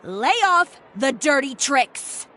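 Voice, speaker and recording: A woman's voice: sits high, expressive, slow pace, sharp tone, slight background noise, exaggerated voice. A woman playing a haughty, fearless fashion designer in a video game, blunt, cutting and disdainful. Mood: cold threatening.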